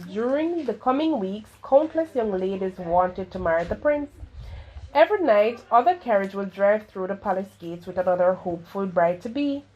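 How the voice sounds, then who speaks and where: A woman reading a children's story aloud in a careful, expressive storytelling manner, with slight stumbles. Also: medium pitch, muffled recording. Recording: clearly noisy home recording.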